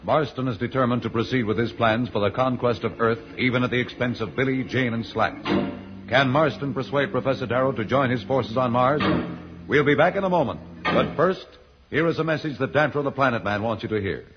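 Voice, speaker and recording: A man's voice: mid-range voice, fairly quick. A man narrating a vintage radio serial as its announcer, dramatic and suspenseful. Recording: muffled vintage radio recording. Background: loud dramatic music.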